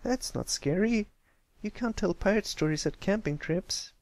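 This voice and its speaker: Man putting on a brash, high-pitched tomboy filly. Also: expressive, unhurried, no room sound. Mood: challenging. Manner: loud, defensive, bragging. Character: brash, boastful young tomboy pony filly, easily annoyed.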